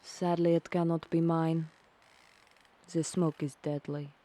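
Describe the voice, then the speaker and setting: A woman playing a solemn poisonous evergreen tree, gentle yet ominous, in a family audio drama. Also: low-pitched, level tone, measured pace. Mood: solemn, regretful.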